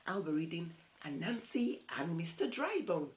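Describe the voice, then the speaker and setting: A woman in her thirties reading a picture book aloud as storytime host, in a theatrical, unhurried way. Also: on the low side, very animated, slight background noise. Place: small indoor set with plain backdrop.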